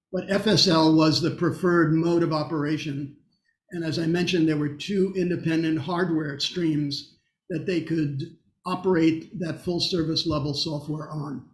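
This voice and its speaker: A man giving a technical presentation and answering questions in a halting, explanatory way, often pausing mid-sentence. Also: on the high side, roomy sound.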